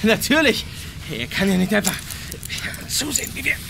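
An actor playing an agitated, defiant man with a secret, speaking haltingly in a German radio crime drama. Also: sits high, sing-song, creaky, noisy background, exaggerated voice. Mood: defiant, angry.

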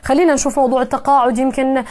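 A Jordanian woman in her thirties hosts a live television call-in show from a studio desk, with a lapel mic. Her voice sits high and she talks fast. She moves briskly from caller to caller in a friendly way. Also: little pitch movement, darkish tone. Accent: Jordanian Arabic. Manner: brisk, friendly, gestures while talking.

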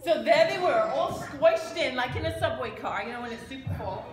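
High-voiced woman telling children's stories. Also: lively intonation, a little fast, crowd noise. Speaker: children's church storyteller. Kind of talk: animated storytelling to children. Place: large church sanctuary. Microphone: handheld microphone. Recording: echoey church, noisy room.

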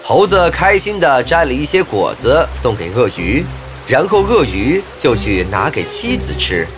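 A man's voice: mid-range voice, sing-song, unhurried. A man narrating a scripted fairy tale for children as a storyteller. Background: music.

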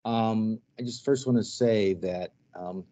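A middle-aged man, a priest and council member, introducing himself on a video call in a relaxed, halting way with self-deprecating asides. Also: on the low side. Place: hotel room, joining remotely. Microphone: headset on video call. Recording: dull video call audio.

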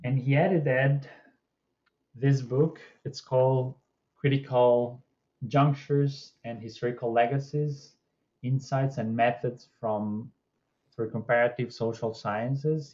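A young man hosting an interview over a video call, asking friendly, slightly halting questions. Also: mid-range voice, steady tone, darkish tone, muffled recording. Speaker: podcast host. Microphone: video call webcam.

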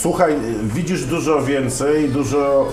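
A man's voice: medium pitch, slow pace. A man in his fifties, a sports commentator and interview guest, telling stories at an easy pace with frequent fillers and restarts, on a lapel mic. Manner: storytelling, many fillers and restarts. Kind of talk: relaxed conversational interview. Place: dim room lined with wine shelves, indoors. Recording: roomy sound with music behind.

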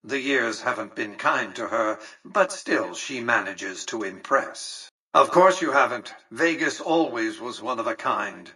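An actor playing a haughty, calculating ancient tycoon in a video game, speaking in a pompous, precise, condescending way. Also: male voice, medium pitch, expressive, unhurried, hardly any pauses, sharp tone, husky, distant mic, full character voice, low-quality recording. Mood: lordly, measured. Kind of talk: scripted video game dialogue.

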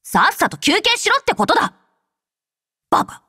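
A woman voicing a brash, tsundere young female android soldier in an anime game, with rough, blunt, tomboyish speech. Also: very high voice, huge pitch swings, normal speed, bright, forceful tone, no room sound, clean studio sound, heavily acted. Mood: gruff, caring. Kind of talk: Japanese anime game character voice lines.

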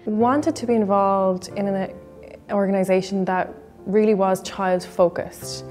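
A middle-aged woman with a gravelly voice, a music educator speaking earnestly in an interview. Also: mid-range voice, fairly even intonation, measured pace, a little mellow. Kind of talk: interview answers.

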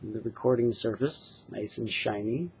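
A man in his forties from the United States gives an earnest, halting product demonstration as a craftsman, recorded indoors on a webcam mic. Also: mid-range voice, slightly rough. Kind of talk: informal product demonstration to camera. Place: small bedroom, indoors. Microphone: webcam or laptop mic at desk distance. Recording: dull, lo-fi webcam sound.

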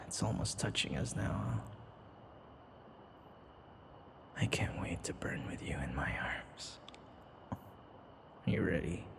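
A man playing an obsessive, lovesick young vampire in an intimate ASMR roleplay, breathy and whispery, halting and full of sighs. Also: low voice, very animated, full character voice. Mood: tender, fatalistic. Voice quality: breathy, often whispery, creaky.

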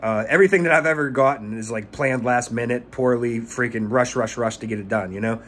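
A man's voice: mid-range voice, fairly quick, fairly bright tone, a little hiss or hum. A man in his thirties giving rambling tips in a casual vlog, stumbling and repeating words, recorded on a phone held at arm's length in a small room. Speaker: pressure washing business owner. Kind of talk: casual talking-head vlog.

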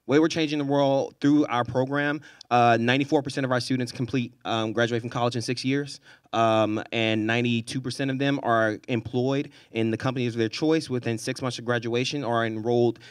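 A man in his thirties from Houston speaking on a panel into a handheld mic, energetic and rallying the student audience. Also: mid-range voice, brisk, clean tone, dry recording, clean studio sound. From Houston, Texas. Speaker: panelist, nonprofit director. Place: stage at a large event space. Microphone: handheld microphone.

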